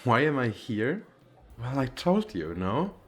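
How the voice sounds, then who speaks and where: A man's voice: mid-range voice, big pitch swings, takes it slowly, fairly bright tone, soft background music, voice pushed up. A man playing a nervous, bashful, well-off young businessman on a date in an ASMR roleplay, with hesitant, stammering speech. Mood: flustered, defensive. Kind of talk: ASMR roleplay, one-sided conversation with the listener.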